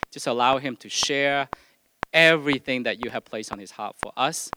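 A man speaking earnestly and haltingly as a host pastor, informally addressing a church congregation. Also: mid-range voice, noisy background. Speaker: host pastor introducing a guest. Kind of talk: informal church introduction and spoken prayer.

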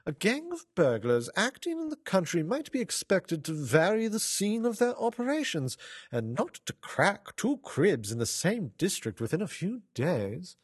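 A man reading an audiobook voices a keen, dry middle-aged detective in a measured, musing way. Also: high voice, sing-song, husky, dry recording, voice pushed up, full character voice.

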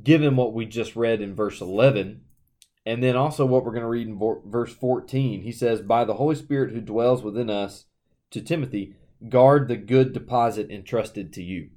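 A man's voice: mid-range voice, normal speed, mellow. A man co-hosting a casual Bible discussion, talking earnestly and conversationally with plenty of "you know"s. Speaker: Bible study co-host.

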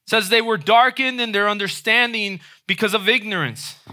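High-pitched guy preaching, super bright sharp voice, keeps saying right?. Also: moderate pace. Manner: conversational, frequent "right?" tag questions. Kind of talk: sermon.

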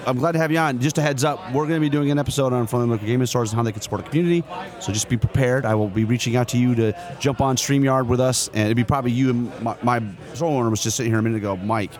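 Podcast host guy, talks fast, eager and chatty. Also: medium pitch, fairly even intonation, a little mellow. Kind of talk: casual interview. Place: convention hall.

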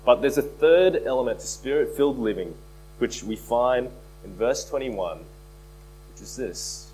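A man preaching a sermon as pastor, hoarse from a cold, earnest and repeating key phrases for emphasis. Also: medium pitch, lively intonation, measured pace, darkish tone, a little hiss or hum, rough recording. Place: church.

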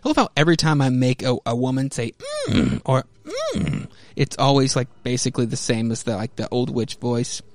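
A man's voice: mid-range voice, fairly even intonation, fast, hardly any pauses, a little mellow, no room sound. An American man hosting a podcast, mixing theatrical comic-book narration with casual commentary. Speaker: podcast host.

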